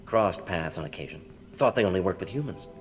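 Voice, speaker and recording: An actor playing a male alien scientist who talks in quick clipped fragments and drops his articles. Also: deep voice, animated, soft background music. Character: fast-talking male alien scientist, twitchy. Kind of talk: video game voice acting.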